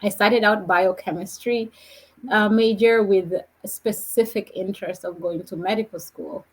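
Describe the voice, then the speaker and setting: A woman from Kenya, a guest on a podcast, telling her story in a reflective, measured way. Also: mid-range voice, steady tone, husky, poor audio. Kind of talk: podcast conversation.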